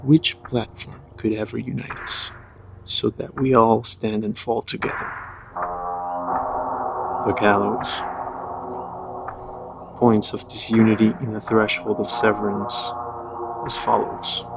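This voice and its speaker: Grave low male poetry reader. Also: little pitch movement, vocal fry. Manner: measured, grave, pausing between phrases. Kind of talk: slow spoken-word poetry over music. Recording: loud music under the voice.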